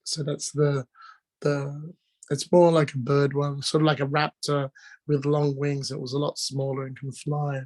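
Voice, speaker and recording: A man with a hoarse, strained voice from being sick, informally explaining drawing step by step as a teacher on a live video call. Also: on the high side, level tone, unhurried, darkish tone. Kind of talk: casual live online drawing lesson, talking while drawing.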